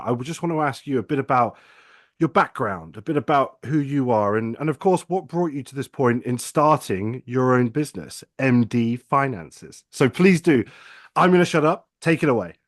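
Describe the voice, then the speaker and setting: A man's voice: mid-range voice, no echo, studio quality. A man hosting an interview show, introducing it and addressing viewers and guest.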